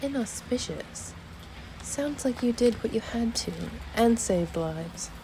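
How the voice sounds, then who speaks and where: A woman voicing a curious, kind but guarded fantasy adventurer in video game dialogue, gentle and deliberate. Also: a little high, animated, noisy background. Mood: concerned. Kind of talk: amateur voice acting of game dialogue.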